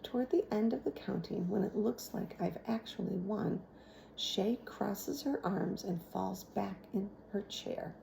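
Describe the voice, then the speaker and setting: A woman's voice: medium pitch, unhurried, vocal fry, dark tone, a little hiss or hum, low-quality recording. A woman narrating a children's novel aloud in the manner of a teacher reading to a class.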